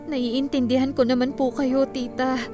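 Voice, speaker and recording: Woman acting a very high-voiced, anxious young daughter. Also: flat delivery, normal speed, mellow, soft background music, close to an everyday voice. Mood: understanding. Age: young adult. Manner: earnest, respectful, emotional. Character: anxious, vulnerable nineteen-year-old pregnant daughter. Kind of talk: Filipino radio drama acting.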